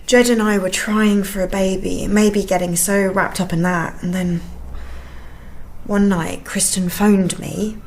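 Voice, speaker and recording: A woman playing an anxious, secretive, grieving young wife in a naturalistic radio thriller, hushed and halting as she confides. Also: medium pitch, steady tone, a little hiss or hum, lightly acted. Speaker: actor in an audio drama.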